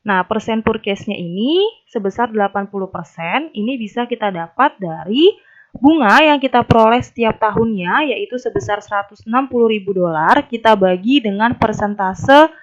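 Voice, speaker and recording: Casting: young female tutor, medium pitch, explains step by step, slightly dull low-fidelity sound. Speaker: accounting tutor. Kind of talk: instructional narration of a worked problem. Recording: dull, lo-fi voice recording.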